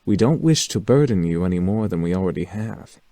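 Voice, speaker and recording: A man's voice: low-pitched, expressive, brisk, warm tone, no room sound. An actor playing a courteous, formal young male incubus in a visual novel game. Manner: polite, formal.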